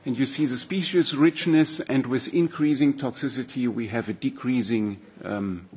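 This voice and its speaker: German-accented man presenting research, slightly husky. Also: medium pitch, darkish tone. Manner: measured, explanatory, with frequent um and uh. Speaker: expert speaker. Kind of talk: formal presentation with slides. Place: parliament hearing room.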